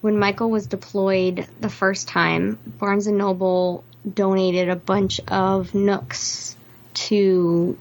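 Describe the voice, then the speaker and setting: A woman's voice: mid-range voice, little pitch movement, takes it slowly, poor audio. A woman co-hosting a podcast in casual, conversational discussion. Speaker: podcast co-host.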